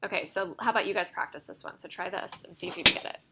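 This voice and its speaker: A woman's voice: lowish voice, very fast, very noisy. A woman math teacher lecturing a class step by step, often checking in with the students, her voice a little worn. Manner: explains step by step, checking in with "right?". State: slightly losing her voice.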